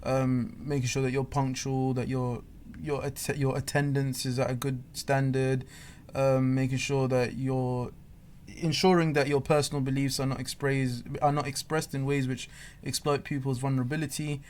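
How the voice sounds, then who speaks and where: A man's voice: medium pitch, little pitch movement, normal speed, darkish tone, a little hiss or hum. A man co-hosting a casual chat, giving earnest advice to trainee teachers and often repeating words as he thinks. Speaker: podcast co-host.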